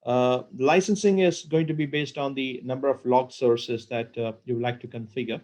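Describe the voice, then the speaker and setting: A man presenting a software webinar on a computer call, talking with many fillers and repeated words. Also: medium pitch, fairly even intonation, normal speed. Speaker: product presenter.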